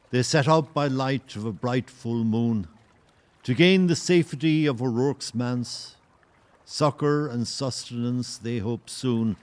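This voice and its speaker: A man narrating a historical audio play in a solemn, literary and measured way. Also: medium pitch, a little mellow, lightly acted. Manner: measured, poetic. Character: solemn male storyteller of a historical tale.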